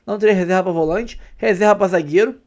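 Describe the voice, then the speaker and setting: A man's voice: high-pitched, fast, darkish tone. A Brazilian man hosting a football fan channel, talking to viewers with enthusiasm and emphasis. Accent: Brazilian Portuguese. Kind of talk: excited football commentary to viewers.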